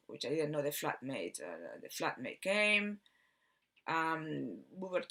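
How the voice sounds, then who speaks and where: A woman from Barcelona with a Spanish accent tells a rambling personal story in a halting way, repeating words and trailing off. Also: deep voice, fairly bright tone. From Barcelona, Spain. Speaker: video host telling personal story.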